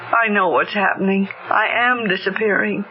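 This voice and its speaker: An actress playing an anguished, neglected wife in an American radio drama, speaking in a halting, earnest, drawn-out way. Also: female voice, mid-range voice, fairly even intonation, slight background noise, close to an everyday voice. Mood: frightened, despairing. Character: anguished, neglected wife who feels herself fading away. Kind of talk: old-time American radio drama acting. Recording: dull old radio broadcast with music.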